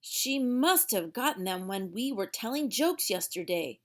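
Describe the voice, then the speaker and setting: Female children's read-aloud narrator, unhurried and theatrical, mid-range voice, stretches key words for emphasis. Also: mid-range voice, expressive. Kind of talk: expressive storybook narration for young children.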